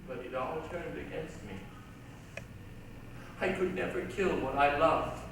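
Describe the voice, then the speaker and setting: A man playing a tormented, obsessive composer in a theatrical stage reading, drawing out his words and swelling into shouts. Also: fairly high pitch, creaky. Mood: bitter anguish. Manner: dramatic, drawn-out, swelling to shouts. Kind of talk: theatrical readers' theatre monologue. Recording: echoey hall, distant mic, hissy old tape.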